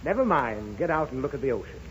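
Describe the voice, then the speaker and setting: A man's voice: mid-range voice, sing-song, fast talker, a bit bright. An actor playing an exasperated, impatient father in a 1930s radio comedy, irritable and emphatic. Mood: weary, irritated. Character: exasperated, impatient father worn out by his child. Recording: old 1930s radio broadcast, muffled, hissy.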